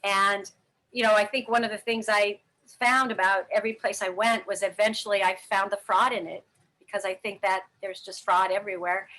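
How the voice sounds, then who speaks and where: A woman's voice: mid-range voice, fairly even intonation, normal speed, bright, forceful tone, rough recording. A middle-aged woman telling her life story candidly in an interview, rambling with many fillers and restarts. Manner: frank, earnest. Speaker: interview guest.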